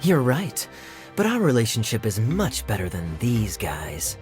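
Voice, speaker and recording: A man's voice: mid-range voice, wildly swooping pitch, measured pace. A man voicing a composed, teasing adult guardian in a video game cutscene. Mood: smooth, teasing. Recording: clear music underneath.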